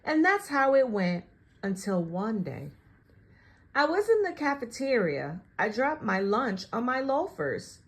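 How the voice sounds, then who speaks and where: A woman reading a children's picture book aloud in an animated, expressive and deliberate storytelling style. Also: on the high side.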